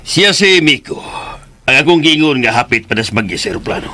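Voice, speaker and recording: A man's voice: mid-range voice, lively intonation, brisk, pushed and bright. A man acting a genial, well-off middle-aged father and employer in a Filipino radio drama, with a Cebuano accent. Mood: explaining.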